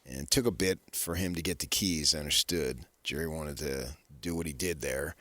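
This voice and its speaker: A man's voice: rumbling low, moderate pace, bright, forceful tone, no room sound. A man hosting sports radio in an unscripted style, often pushing his points with repeated rhetorical questions. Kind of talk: unscripted sports talk commentary.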